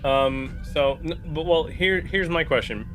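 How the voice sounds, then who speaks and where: A man's voice: fairly high pitch, unhurried, a bit bright, soft background music. A man taking part in a tabletop role-playing game, casually talking through the options as a player. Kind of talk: casual out-of-character game discussion. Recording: faint background noise.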